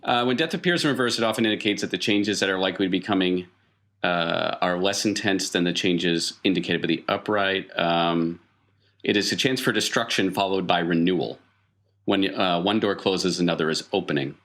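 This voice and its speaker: Male game master with a lowish voice, improvising tabletop RPG narration conversationally, pausing as he finds colourful detail. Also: fairly bright tone.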